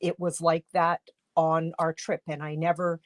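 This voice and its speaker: A woman from Canada hosting a casual craft livestream from her home studio, congested with a cold, chatty and rambling, often clearing her throat. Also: low-pitched, flat delivery, unhurried, dry recording. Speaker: livestream host and stamping demonstrator.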